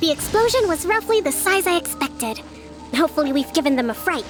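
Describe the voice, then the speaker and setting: A young female military strategist in an anime video game. Her voice is very high, gentle and composed. She speaks thoughtfully and politely, in measured phrases over clear background music. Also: sing-song. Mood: calm, confident.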